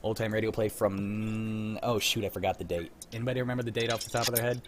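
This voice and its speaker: A man's voice: on the low side, steady tone, fast. Casual man hosting, faint background noise. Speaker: host of a livestreamed script reading show. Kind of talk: casual, unscripted hosting chat.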